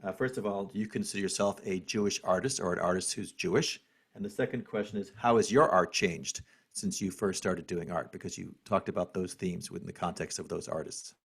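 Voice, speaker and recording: Deep husky host on a video call. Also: male voice, fairly even intonation, a little fast. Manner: casual, with many "uh" fillers. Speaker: program host. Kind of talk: hosting an online Q&A.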